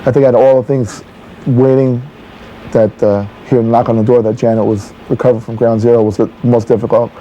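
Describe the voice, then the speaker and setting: A man's voice: mid-range voice, little pitch movement, brisk, warm tone, a little hiss or hum, poor audio. A middle-aged man talking casually and unscripted in an interview as a father, plainspoken and repeating phrases for emphasis. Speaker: father being interviewed at home.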